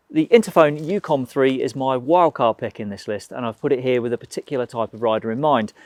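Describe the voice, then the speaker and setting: A man reads through a scripted gear review at a quick clip, practical and matter-of-fact. His voice sits at a middling pitch. The recording is dry and close, with no room sound. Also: a little mellow, no echo. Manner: brisk, informative, practical. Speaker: product reviewer for a motorcycle gear shop.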